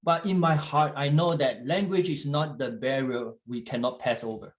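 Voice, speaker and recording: A man preaching in English, which is not his first language, pausing often with fillers and restarts while an interpreter translates. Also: mid-range voice. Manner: halting, with frequent fillers and restarts. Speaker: guest church leader. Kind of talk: sermon in English with live interpretation.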